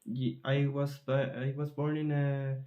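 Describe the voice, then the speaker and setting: A man from Chile, a composer answering interview questions thoughtfully, often pausing and searching for words. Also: medium pitch, little pitch movement, dull audio. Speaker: composer in residence.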